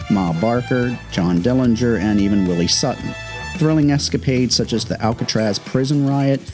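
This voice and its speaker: A man's voice: medium pitch, darkish tone. A man hosting a programme with scripted historical commentary, in the manner of a prepared narration. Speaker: host, radio historian.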